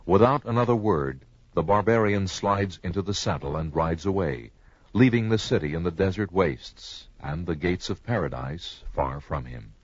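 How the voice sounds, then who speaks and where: An actor playing a grave storyteller, narrating a barbarian fantasy saga with scripted drama and a husky voice. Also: male voice, low-pitched, moderate pace, dry recording. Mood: solemn. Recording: dull, dry lo-fi audio.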